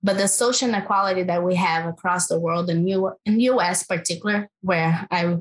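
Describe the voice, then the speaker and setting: A woman's voice: lowish voice, fairly quick. A woman with a Brazilian accent, a climate policy researcher answering interview questions in an earnest way, thinking aloud with fillers and restarts. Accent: Brazilian Portuguese.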